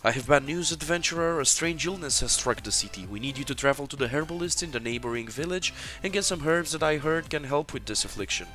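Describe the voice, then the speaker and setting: A man's voice: on the high side, expressive, fast talker, few pauses, sharp tone, faint music behind, pitched above the performer's own voice. A man voicing a town mayor who hands out urgent quests in a fantasy game. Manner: reads quest dialogue aloud, slightly raised.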